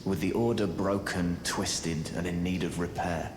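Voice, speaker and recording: An actor playing a courteous, wry fugitive sorcerer in a fantasy video game, genteel, chatty and scholarly. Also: male voice, sits low, steady tone, measured pace, noisy background. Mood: scholarly, intrigued. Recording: roomy echo with loud game music.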